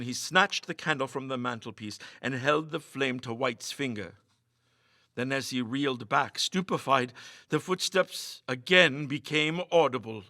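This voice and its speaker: A man delivers suspenseful, theatrical story narration. His voice sits at a medium pitch with a sharp, forceful tone. The sound is close and dry. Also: dry recording. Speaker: story reader. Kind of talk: dramatic scripted narration of a ghost story.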